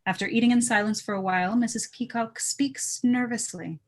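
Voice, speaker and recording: A woman's voice: fairly high pitch, brisk. A woman reading stage directions as narrator in a casual live table read.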